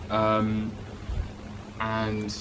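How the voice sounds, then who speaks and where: Man playing a hesitant, slightly low-voiced witness. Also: completely flat delivery, very slow, background noise. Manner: hesitant, many ums and restarts. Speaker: witness in a role-play court case. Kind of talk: casual role-play testimony.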